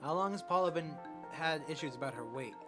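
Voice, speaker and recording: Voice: mid-range voice, fairly quick, lightly acted. Someone doing a polite doctor guy asking questions, earnest, faint music behind. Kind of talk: amateur fan dub of a medical drama.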